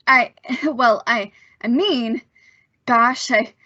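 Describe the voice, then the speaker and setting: Woman playing a bright-voiced, stammering teenage boy. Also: fairly high pitch, drawn-out words, dry recording, highs cut off, exaggerated voice. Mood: nervous, hesitant. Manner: stammering, hesitant, boyish. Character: bashful, evasive returned teenage boy, gushing gee-whiz politeness. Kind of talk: old-time radio drama acting.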